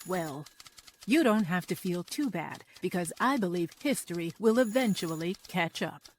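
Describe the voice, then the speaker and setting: A man's voice: high voice, expressive, moderate pace, a little hiss or hum, voice pushed up. A man putting on a poised older lady doctor. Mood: wry. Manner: wry, unbothered replies. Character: poised older woman doctor, calm and dry-witted.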